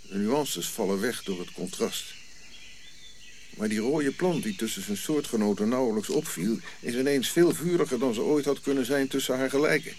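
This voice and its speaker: An actor playing a reflective African prince who recounts his royal youth in measured, eloquent radio drama monologue, pausing as he goes. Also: medium pitch, slight background noise, close to an everyday voice. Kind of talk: audio drama narrative monologue.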